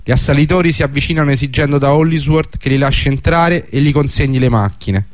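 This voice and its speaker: A man's voice: on the high side, fast talker, no echo, studio quality. A man reading a scripted historical narration for a radio drama.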